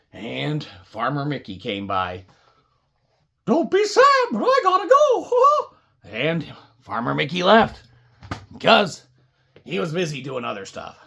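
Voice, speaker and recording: A woman storyteller with a gravelly, raspy voice improvises a puppet show for kids. She takes her time, rambling into wry asides, and her pitch moves around a lot. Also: a bit bright. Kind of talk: improvised comic children's story narration.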